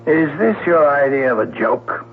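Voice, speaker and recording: An actor in a 1970s radio drama playing a curt, dismissive boss, speaking with halting indignation. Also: male voice, fairly high pitch, animated. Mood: uneasy, bargaining. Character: curt, dismissive male boss cornered by a threat. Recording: tinny, noisy old radio broadcast.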